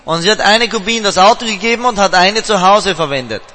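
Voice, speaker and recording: A man's voice: high-pitched, fast, pushed and bright, long reverb tail. A man working as a live interpreter at a revival meeting, translating the preacher phrase by phrase. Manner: translates each phrase right after the preacher.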